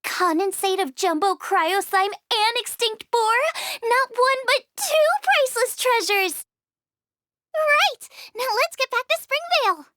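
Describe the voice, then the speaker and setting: A woman voicing an excitable, cheerful and bossy girl chef in an animated video game, perky and emphatic. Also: very high-pitched, measured pace, no room sound, studio quality, exaggerated voice. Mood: excited, upbeat.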